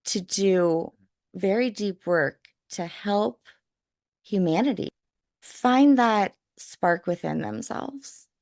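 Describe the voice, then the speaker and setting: A middle-aged woman hosts a podcast in a casual, chatty way, often using fillers. Her voice has a gravelly fry to it. The sound is dry and close, with no room echo. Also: medium pitch, animated, slow pace, a little mellow. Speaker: podcast host. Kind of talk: casual interview chat.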